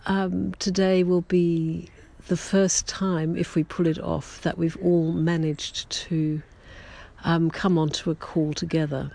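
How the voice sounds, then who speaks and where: A writer keeping a daily audio diary, talking to listeners in a gentle, halting way with many pauses and self-corrections, a little hoarse after losing their voice that morning. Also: medium pitch. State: recovering from a lost voice, slightly hoarse. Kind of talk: slow reflective personal chat to listeners.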